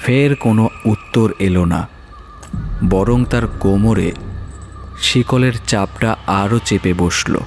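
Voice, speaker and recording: A man narrating a scripted horror story in a measured, dramatic way, pausing between phrases. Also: lowish voice, gravelly, slight background noise. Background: music.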